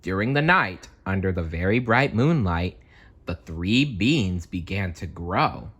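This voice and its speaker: A man reading a children's storybook aloud as an expressive storyteller, theatrical and deliberate in his delivery. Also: low-pitched, slightly rough.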